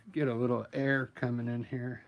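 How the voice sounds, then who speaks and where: A man with a scratchy voice from allergies, painting live and explaining his steps in a relaxed, thinking-aloud way. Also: mid-range voice, measured pace, vocal fry. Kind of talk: casual live painting demonstration.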